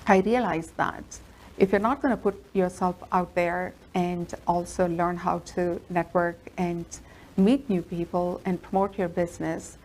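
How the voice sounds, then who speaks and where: Female lawyer guest, Telugu native, low voice, steady earnest telling. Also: measured pace, poor audio. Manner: earnest, steady storytelling with fillers. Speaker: immigration lawyer. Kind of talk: interview answers.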